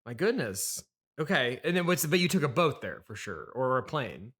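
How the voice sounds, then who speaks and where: A man's voice: medium pitch, sing-song, moderate pace, fairly bright tone, breathy edge. A man interviewing as a podcast host in an improvised comedy chat, questioning incredulously and echoing what he hears.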